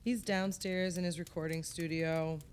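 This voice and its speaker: An actress playing a writer's long-suffering wife in an audio play, wry, teasing and exasperated in a naturalistic conversational way. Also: female voice, fairly low pitch, flat delivery, normal speed, a little mellow, a little hiss or hum, lightly acted.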